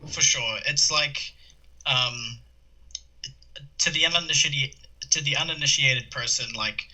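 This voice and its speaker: A man's voice: mid-range voice, a little slow, sharp tone, poor audio. A young man from New Zealand with a New Zealand accent, chatting as a podcast guest, rambling with lots of fillers and restarts. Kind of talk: casual chat.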